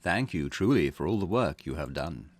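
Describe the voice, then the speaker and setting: A man's voice: extremely low pitch, expressive, dry recording, voice pushed down, exaggerated voice. A man reading as a solemn, formal spirit guide. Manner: measured, formal reading of game dialogue. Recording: game music playing behind.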